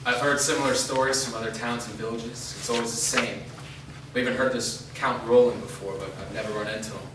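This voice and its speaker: A man's voice: medium pitch, steady tone, a little fast, close to an everyday voice. A man playing a knowing, matter-of-fact traveller in a staged reading. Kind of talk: staged reading of a drama script. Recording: noisy, very echoey hall from far off.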